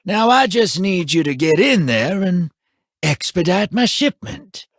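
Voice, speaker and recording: A cocky slow-drawling smuggler, high and bouncy, kinda husky. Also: male voice, very animated, no echo, clean studio sound, heavily acted. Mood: teasing. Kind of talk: video game dialogue.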